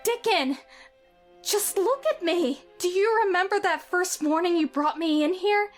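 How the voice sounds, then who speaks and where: A woman's voice: very high-pitched, a little slow, soft background music. A woman voicing a young boy recovering from illness, earnest and then overjoyed, in an amateur audio drama. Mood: ecstatic. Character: sickly boy recovering, eager and wondering.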